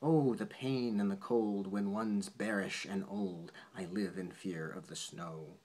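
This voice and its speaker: A man's voice: low-pitched, fairly even intonation, measured pace, darkish tone. A man reading the lines of an old, aching bear who fears the snow, in a sing-song rhyme. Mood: fearful.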